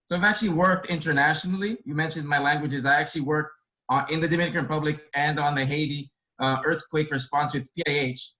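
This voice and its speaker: A man's voice: on the high side, bright, forceful tone, low-quality recording. A man from Boston whose first language is Spanish, a care resource coordinator on a video call. He answers a webinar panel question earnestly and reflectively, in long winding sentences. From Boston, United States.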